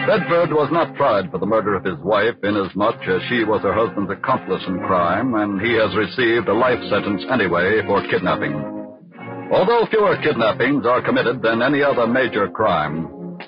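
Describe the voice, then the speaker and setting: A man with an American accent delivering scripted 1940s radio narration in a formal, grave, declamatory manner. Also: on the low side. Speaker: radio crime series narrator. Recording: dull, husky old radio recording, music.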